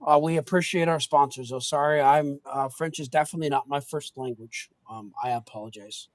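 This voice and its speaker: A man's voice: mid-range voice, brisk, dry recording. A man co-hosting a podcast in casual chat, talkative with sarcastic asides. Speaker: podcast co-host.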